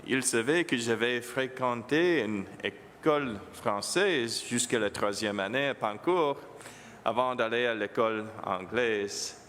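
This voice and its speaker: A priest whose first language is English, speaking French with an English accent, giving a homily to children in a halting manner and slipping English words in. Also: male voice, medium pitch, fairly bright tone, in a large hall, a little hiss or hum.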